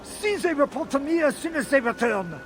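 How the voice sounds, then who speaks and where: An actor playing men of a medieval church in a video game cutscene. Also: male voice, very high voice, expressive, brisk, noisy background. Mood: angry, impatient.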